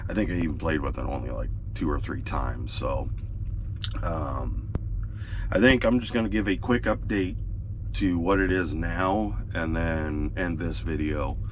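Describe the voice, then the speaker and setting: A man's voice: very deep voice, measured pace. A man casually walking through his model railroad in the sleeper bunk of his semi truck, rambling with plenty of fillers, his voice a bit crackly from recent illness. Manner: rambling, relaxed, lots of fillers and restarts. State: slightly crackly voice, recovering from illness. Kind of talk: casual walkthrough vlog.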